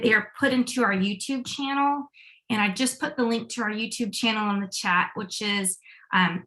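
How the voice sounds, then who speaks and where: A woman hosting an online event, reading announcements on a video call with hesitant pauses and many ums. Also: a little high, sharp tone, low-quality recording. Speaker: event host making announcements.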